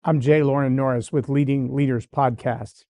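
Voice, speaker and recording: A man's voice: mid-range voice, fairly even intonation, normal speed, warm tone, dry recording. A man hosting a leadership podcast, talking in an emphatic, list-building way. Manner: emphatic, repeats phrases in building lists. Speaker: podcast host.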